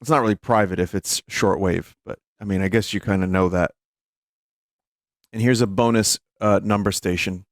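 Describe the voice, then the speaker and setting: A man's voice: fairly low pitch, fairly even intonation, normal speed, no echo, clean studio sound. A man hosting a livestream, chatting casually and wryly as he reacts to recordings. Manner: wry, joking. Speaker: streamer hosting a listening session. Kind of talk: casual live commentary, chatting with viewers. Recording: music playing behind the voice. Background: radio recordings and music.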